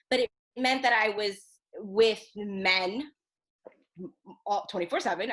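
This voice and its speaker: Woman podcast guest, lively and chatty, mid-pitched voice, relaxed interview register. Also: low-quality recording. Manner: animated storyteller, quick bursts, laughs often. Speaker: podcast guest, youth journalism program director. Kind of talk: casual interview chat.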